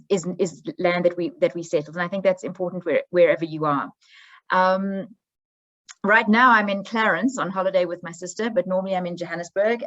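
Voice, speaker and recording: A woman's voice: on the low side, dull audio. A middle-aged woman with a South African accent giving an online history presentation, conversational and hesitant, with frequent ums and restarts. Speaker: history professor. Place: holiday home.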